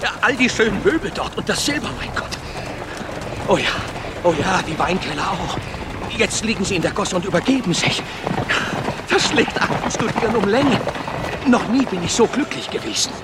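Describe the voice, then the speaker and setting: Exhilarated revolutionary judge, high-pitched, gleeful, radio drama, heavy noise around him. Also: male voice, lively intonation, normal speed. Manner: gleeful, exclamatory. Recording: very noisy outdoor scene effects.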